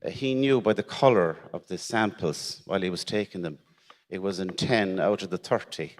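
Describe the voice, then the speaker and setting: A middle-aged Irish man, whose first language is Irish, telling a personal story at a conference in a halting way, repeating words and laughing wryly. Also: low voice. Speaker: shed member telling his story. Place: conference hall. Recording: long hall reverb.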